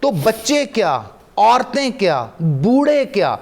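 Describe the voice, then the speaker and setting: High-pitched Pakistani man, emphatic speaker. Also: very animated, takes it slowly, creaky. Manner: emphatic, rhetorical, builds points through repetition. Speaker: guest researcher and commentator. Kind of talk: impassioned religious and historical commentary on a TV talk show.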